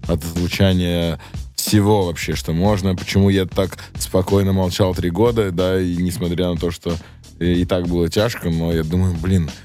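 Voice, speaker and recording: A man's voice: sits low, little pitch movement, normal speed, dark tone, soft background music. A man of about thirty talking casually and slangily as an interviewed rapper, reflective and searching for words.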